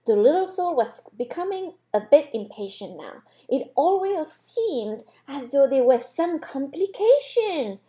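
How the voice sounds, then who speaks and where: A woman reading a story aloud in an expressive storybook narration style. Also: high voice, measured pace, hardly any pauses. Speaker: story reader.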